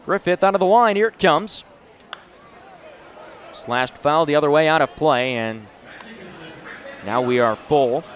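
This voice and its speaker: A man's voice: a little high, a little slow, pauses often. A man in his twenties doing live play-by-play sports commentary in short, punchy announcer phrases. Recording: noisy live venue sound.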